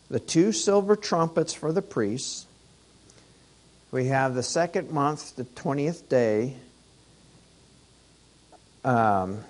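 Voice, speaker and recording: Slow, hoarse man teaching scripture. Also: mid-range voice, darkish tone. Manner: conversational, rhetorical questions, many restarts. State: hoarse, losing his voice. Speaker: Torah teacher. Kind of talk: Torah portion teaching.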